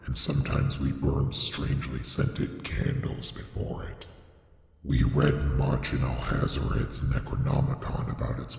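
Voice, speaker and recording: An extremely low-voiced man narrates a horror tale in heavy, crackling fry. His delivery is solemn, deliberate and theatrical, and every phrase trails off into a long, echoing reverb. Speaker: narrator reading a horror story. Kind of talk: scripted dramatic horror audiobook narration.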